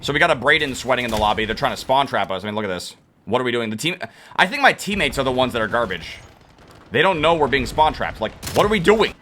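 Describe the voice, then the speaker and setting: Young male gaming creator, lively and rapid, bright edgy tone, worn voice, faint noise behind. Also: mid-range voice. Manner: excitable, quick to rage and laugh. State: losing his voice. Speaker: gaming youtuber. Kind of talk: live gameplay commentary.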